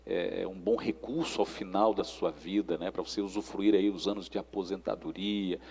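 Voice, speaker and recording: A Brazilian man with a husky, creaky voice talks like a preacher in conversation. He instructs and exhorts, often checking for agreement. His voice trails off in long reverb. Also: sits low, steady tone, moderate pace, a little mellow. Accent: Brazilian Portuguese.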